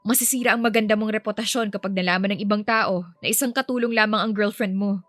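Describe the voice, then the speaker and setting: A woman's voice: mid-range voice, fairly even intonation, quick pace, a bit bright, no room sound, soft background music, natural voice. A woman voicing a proud, polite young housemaid nanny in an audio romance, earnest and motherly. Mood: bitter, resigned.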